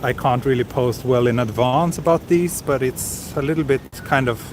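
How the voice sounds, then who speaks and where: A man chats his way through a walking live stream, pausing on fillers as he reads out and answers viewers' comments. His voice sits at an ordinary middle pitch. A handheld mic outdoors picks him up with steady city street noise behind. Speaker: livestream walking vlogger. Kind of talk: casual live-stream chat. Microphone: handheld camera with small recorder mic and wind cover. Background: city street ambience.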